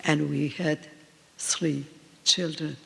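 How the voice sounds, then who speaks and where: A woman in her eighties, a native Polish speaker, speaking in slow, halting short phrases as a guest at a live talk in a reverberant hall. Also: low voice, steady tone, creaky, bright, forceful tone. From Poland. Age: 80s. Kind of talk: answering on stage in a live talk. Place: event hall with audience.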